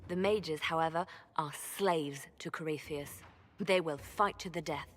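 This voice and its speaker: An actress playing a composed, sober spymaster giving a grave, measured briefing in a fantasy video game. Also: female voice, low-pitched. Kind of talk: dramatic video game acting.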